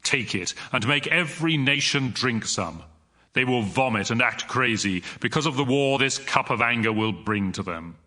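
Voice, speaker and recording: The Lord in a scripture audio drama, stern and all-powerful, as a man voices him. The tone is sharp and forceful, and each command comes out solemn and deliberate. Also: mid-range voice, animated.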